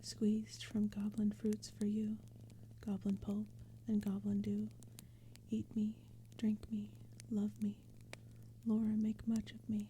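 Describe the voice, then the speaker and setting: Woman playing an impassioned young sister in a storybook poem: hushed, breathy, mellow, pleading, with faint forest ambience. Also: medium pitch, slow pace, natural voice. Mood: tender urging. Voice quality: breathy whisper. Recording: steady background ambience noise. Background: crackling fire and forest sounds.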